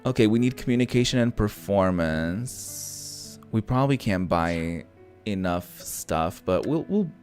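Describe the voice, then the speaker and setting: A man doing casual let's-play commentary, thinking out loud with some mild swearing over background music. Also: on the low side, animated, unhurried. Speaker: gaming host. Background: background game music.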